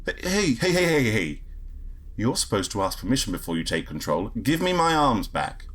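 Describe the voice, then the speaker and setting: A man playing a grumpy, sardonic space agent in a sci-fi audio drama, with a husky voice and a wry, grumbling manner. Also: medium pitch, sing-song, normal speed, a bit bright. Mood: indignant. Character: grumpy, trigger-happy male space agent, sardonic and exasperated. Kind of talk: first-person sci-fi audio drama narration and dialogue.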